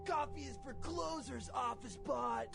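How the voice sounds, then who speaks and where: An actor voicing a bombastic, menacing transforming office robot villain in a toy commercial, shouting theatrically. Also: male voice, extremely high pitch, a little slow, vocal fry, music in the background, exaggerated voice. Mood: gloating, menacing.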